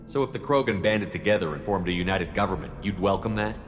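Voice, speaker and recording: Man playing a deep, brisk, blunt commander. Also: natural voice. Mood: challenging. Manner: direct, probing, confrontational. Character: blunt, challenging human male military commander. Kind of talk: video game cutscene acting. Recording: long reverb with music underneath.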